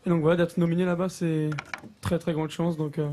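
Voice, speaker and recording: A man's voice: fairly high pitch, little pitch movement, brisk, dark tone, slight background noise. A young man from Alsace answering interview questions casually, with hesitations and fillers. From Alsace, France. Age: young adult. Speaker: singer guest.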